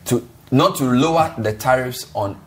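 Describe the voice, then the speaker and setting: A man hosting a TV talk show, reading out viewer messages and questioning his panel briskly. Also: medium pitch, a little hiss or hum. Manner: brisk, reads texts aloud with quick asides.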